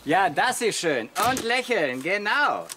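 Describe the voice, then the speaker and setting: A man's voice: high-pitched, big pitch swings, slow pace, very noisy, full character voice. An actor playing a fussy, bossy, easily exasperated male photographer in a radio play. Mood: coaxing. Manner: bossy, gushing at models. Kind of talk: German radio play acting.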